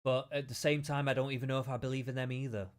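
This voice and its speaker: A man's voice: medium pitch, level tone, fast. A British man hosting a podcast, chatting in a rambling, opinionated, stop-start way. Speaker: podcast host. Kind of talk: casual chat.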